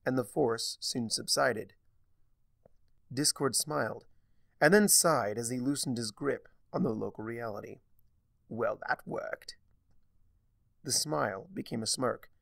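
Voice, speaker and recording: Expressive, creaky-voiced man narrating a story. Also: mid-range voice, no room sound. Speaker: narrator of fan fiction. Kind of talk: dramatic scripted story narration.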